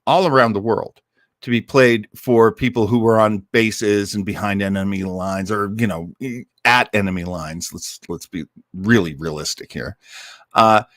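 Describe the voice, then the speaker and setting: A man's voice: fairly low pitch, a bit bright, dry recording, studio quality. A man speaking as a host in casual, enthusiastic and digressive commentary to his live audience, with lightly dramatic emphasis. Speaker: host of an old-time radio listening party.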